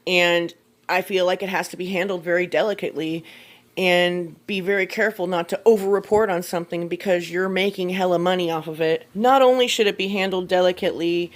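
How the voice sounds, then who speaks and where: Lower-pitched American woman giving commentary. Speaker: commentary video creator. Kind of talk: opinion commentary narration.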